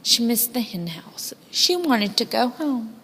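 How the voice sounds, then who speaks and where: A woman narrates a children's story in a fairly high voice with a touch of creak. Her phrasing is expressive and deliberate. A long reverb hangs around the recording. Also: slight background noise. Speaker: storybook reader.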